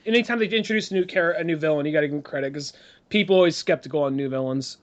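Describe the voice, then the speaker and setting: High-voiced man, laughing co-host. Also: rapid-fire, lo-fi sound. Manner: laughs often, swears casually. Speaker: podcast co-host. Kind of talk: casual chat.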